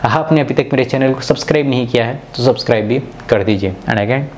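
A man's voice: mid-range voice, a little fast. A man from India, narrating a tech tutorial in an instructional, step-by-step way. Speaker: tech video host.

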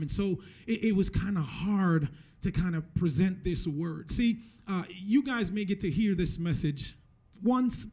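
A man's voice: fairly high pitch, dark tone. A man in his thirties from Jamaica preaching a conversational sermon into a handheld mic, repeating phrases for emphasis. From Jamaica, moved to the US at eleven. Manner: repeats and restarts phrases for emphasis, often tags points with "right?". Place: church stage, indoors. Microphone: handheld microphone held close.

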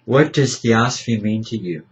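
An older man, a librarian, hosts an interview in a book-lined library room, talking with many hesitations and a gentle joking tone. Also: lowish voice, lively intonation, normal speed. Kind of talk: casual interview. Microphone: camera mic at table distance. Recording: slightly dull lo-fi webcam audio.

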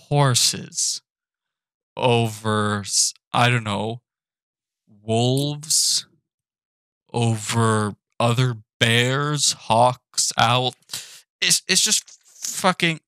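A young man ranting profanely and with exasperation from his apartment, now and then breaking into shouts. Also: mid-range voice, extremely slow, pauses often, smooth, no echo, clean studio sound. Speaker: animated channel host. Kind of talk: ranting commentary.

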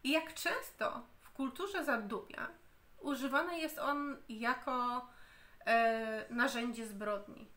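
Woman in her 30s, book reviewer, slow deliberate delivery, bright tone, home camera recording. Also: a little high. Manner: slow and deliberate, with frequent hesitations and restarts. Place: home room, indoors.

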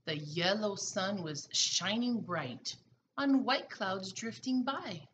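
A middle-aged woman, a preschool teacher, reading a picture book aloud expressively and deliberately to young children. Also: mid-range voice, expressive, fairly bright tone, muffled recording, slight background noise. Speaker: pre-K teacher. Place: small room at home, indoors.

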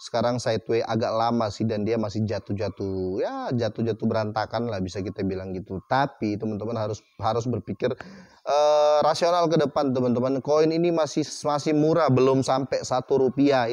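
A man giving casual commentary as a crypto channel host, reading text aloud haltingly and tagging "teman-teman" onto most phrases. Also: mid-range voice, steady tone, darkish tone, no room sound. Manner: chatty, repeats "teman-teman" after most phrases. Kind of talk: casual screen-share commentary.

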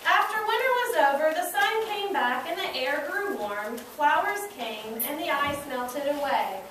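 A woman narrating a children's story in a deliberate way, repeating words for emphasis. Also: high-pitched, lively intonation, a bit bright. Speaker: narrator of a school puppet show, prompting child puppeteers. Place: classroom, recorded from the audience side. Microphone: distant camera microphone. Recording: echoey room, far mic, noisy.

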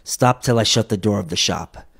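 A sly old junk dealer near seventy, gruff and low, chuckling over his bargains, as a male narrator puts him on. He talks with an easy, knowing slyness. It is a storybook reading. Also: normal speed, fairly bright tone, heavily acted.

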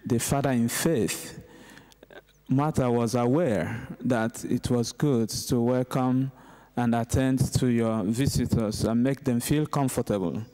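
A middle-aged man, a priest, delivering a homily in a measured, deliberate way with pauses between short phrases. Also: mid-range voice, mellow, rough recording. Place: inside a large church, at the lectern. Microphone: gooseneck lectern microphone.

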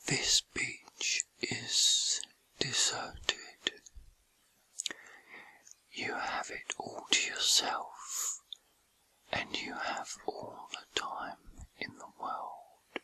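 A man whispering a guided meditation in a soothing, gentle, breathy ASMR style with unhurried pauses. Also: no room sound, rough recording.

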